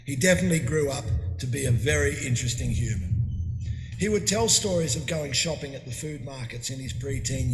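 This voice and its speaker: A middle-aged man giving a funeral eulogy, talking conversationally with fillers and restarts. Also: medium pitch. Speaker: eulogy speaker. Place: memorial hall. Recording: echoey hall with long reverb.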